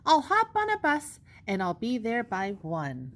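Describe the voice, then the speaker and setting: Woman reading as a female storybook emu, high voice, lively swoops, upbeat, plucky, determined. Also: a little slow.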